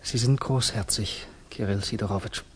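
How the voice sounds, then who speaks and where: A man playing an earnest, idealistic Russian revolutionary in a German radio play, speaking in a grave, hushed and halting way. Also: lowish voice, a little hiss or hum, close to an everyday voice.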